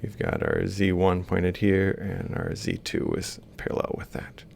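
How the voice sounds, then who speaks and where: Very creaky, low-voiced man lecturing. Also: wildly swooping pitch, a little slow, darkish tone, in a whisper. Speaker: university robotics lecturer. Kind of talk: informal step-by-step worked-example lecture. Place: screen-recorded lecture voice-over.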